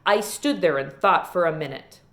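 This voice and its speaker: A woman reading a children's novel aloud in an expressive storytelling style. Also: lowish voice, moderate pace. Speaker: read-aloud reader.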